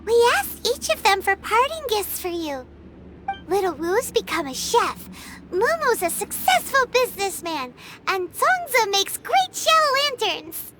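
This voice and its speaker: A woman's voice: extremely high pitch, very animated, unhurried, very noisy, full character voice. A woman voicing a small, childlike, bossy and cheeky female companion in a video game. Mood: matter-of-fact. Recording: loud music under the voice.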